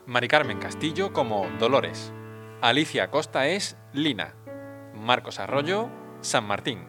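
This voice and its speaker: Male credits announcer, scripted read, medium pitch, guitar bed clearly present. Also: lively intonation, measured pace. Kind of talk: scripted podcast credits narration. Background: plucked guitar music.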